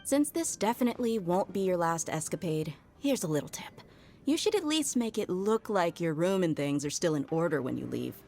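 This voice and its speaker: A woman's voice: fairly low pitch, big pitch swings, moderate pace, darkish tone, soft background music. An actress playing a tough, principled woman mercenary bodyguard in a game, blunt and casual in manner. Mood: easygoing. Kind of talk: game voice acting.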